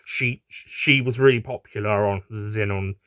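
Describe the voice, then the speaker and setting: Man putting on a booming, pompous horse villain. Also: a little slow, clear, resonant tone, no room sound, studio quality. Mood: flustered. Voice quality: deep, booming. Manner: snarling, pompous, shouting. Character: large, vengeful, pompous male horse creature villain.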